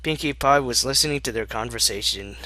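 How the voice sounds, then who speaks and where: A man's voice: medium pitch, brisk, sharp tone, no room sound. A man narrating fan fiction as an amateur reader, breaking off for wry asides. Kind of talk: animated amateur story narration.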